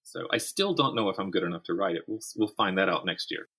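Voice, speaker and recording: A man speaking as a writer guest in a conversational interview, telling things in an animated way and voicing imagined quotes. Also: low-pitched, quick pace, slightly rough.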